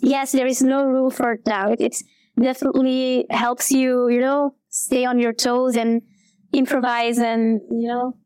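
A woman in her thirties from Belgium chats as an interview guest, her fairly high voice recorded dry and close. She speaks hesitantly, restarting sentences and filling gaps with fillers. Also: flat delivery, a little slow, darkish tone, smooth, dry recording, rough recording. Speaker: guest actor. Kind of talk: casual interview chat.

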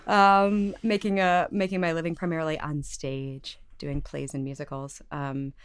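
A woman's voice: low voice, flat delivery, normal speed, clear, resonant tone, no room sound. A middle-aged woman, a stage actress, chatting casually as an interview guest.

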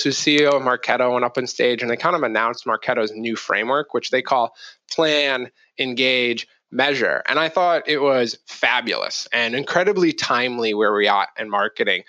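A man's voice: mid-range voice, bright, forceful tone, dry recording. A man explaining things conversationally as an interview guest, often posing rhetorical questions, on slightly muffled audio. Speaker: podcast guest, marketing executive.

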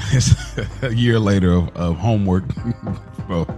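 A man co-hosting a podcast in casual, slangy, informal talk, telling stories in an animated way. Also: fairly low pitch, slow pace, music in the background. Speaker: podcast co-host. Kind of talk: casual chat.

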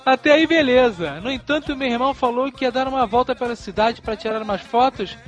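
A man's voice: very high-pitched, a little fast, soft background music. A Brazilian man co-hosting a podcast, telling stories and bantering in a colloquial, mock-serious way. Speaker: podcast co-host. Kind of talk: casual storytelling and banter.

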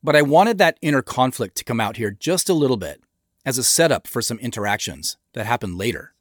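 A man giving scripted director's commentary in a measured, explanatory manner into a close mic. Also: medium pitch, animated, dry recording. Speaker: show creator and commentator.

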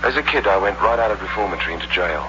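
An actor playing a weakened, embittered young ex-convict giving an urgent confession in a 1960s radio crime drama. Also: male voice, sits low, lively intonation, racing. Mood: bitter, urgent. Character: wounded young male ex-convict, bitter and confessional. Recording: muffled, noisy old radio recording with hum.

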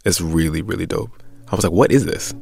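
A man's voice: low-pitched, brisk, vocal fry, a little mellow. An American man co-hosting a podcast in casual, slangy chat. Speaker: podcast co-host.

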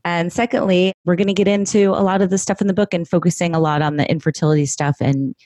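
A woman with a low voice hosts a podcast, gushing with enthusiasm as she talks to her guest. The sound is dry and close, with no room around it. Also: steady tone, fast, a little mellow, no echo. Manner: effusive, enthusiastic. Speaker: podcast host. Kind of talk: podcast interview.

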